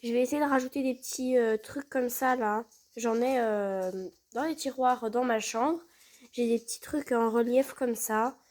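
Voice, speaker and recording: A woman's voice: on the high side, a little slow, a little mellow. A woman with a cold chatting hesitantly from her living-room sofa while doing a craft project. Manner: chatty, hesitant with many fillers. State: has a cold. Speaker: vlogger. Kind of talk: casual crafting vlog.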